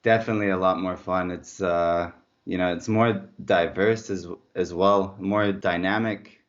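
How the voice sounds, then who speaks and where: A man's voice: low-pitched, fairly even intonation, measured pace. A man from Slovakia, a native Slovak speaker, chatting casually in an interview as a former pro athlete, searching for words as he goes. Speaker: former professional road cyclist turned mountain bike racer, interviewee. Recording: dull lo-fi audio.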